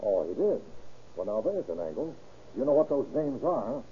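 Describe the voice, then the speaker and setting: An actor playing a brisk, hard-nosed male homicide detective, clipped and commanding, in 1930s radio drama style. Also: medium pitch, big pitch swings, mellow, husky. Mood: pondering, confiding. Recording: tinny, noisy old radio recording.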